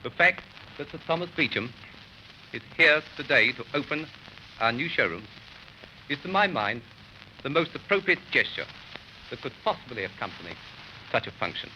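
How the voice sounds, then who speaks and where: An English man giving a stately, measured, formal scripted speech as the host of a ceremony, on an old 1930s recording. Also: mid-range voice, big pitch swings, bright, forceful tone. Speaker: host introducing the guest speaker. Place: public opening ceremony in a store. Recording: muffled, noisy 1930s archive recording.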